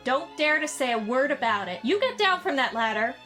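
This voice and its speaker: A woman's voice: sits high, very animated, fairly quick, bright, forceful tone, faint music behind, full character voice. A woman playing a proud, commanding young boy master in an audio drama, speaking formally and emphatically. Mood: imperious.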